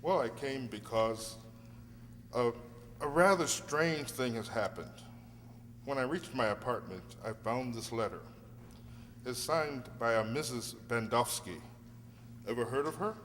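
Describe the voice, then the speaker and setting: A man playing a polite, cautious lawyer in an amateur radio play, measured and hesitant, recorded in a reverberant hall. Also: mid-range voice, fairly bright tone, lightly acted. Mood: puzzled, serious. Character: polite, earnest gentleman lawyer, cautious and determined. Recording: reverberant hall, faint noise and hum.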